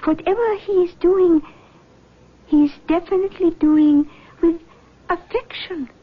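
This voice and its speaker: A solemn woman medium speaks slowly in a very high voice. She gives oracular counsel in an old radio play. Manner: slow, deliberate, oracular. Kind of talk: vintage radio drama acting. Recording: dull 1970s radio recording.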